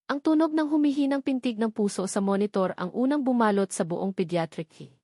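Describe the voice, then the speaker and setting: A woman's voice: on the high side, animated, brisk, dark tone, no room sound. Woman narrator, very dry close sound. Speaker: storyteller. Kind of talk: scripted story narration.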